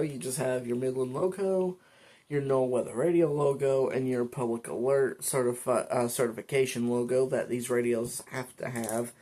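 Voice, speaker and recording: Male vlogger, creaky mid-pitched voice, informal and halting, talking through features one step at a time. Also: darkish tone. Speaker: vlogger reviewing a weather radio. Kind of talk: casual product demonstration, unscripted. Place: indoors at a desk.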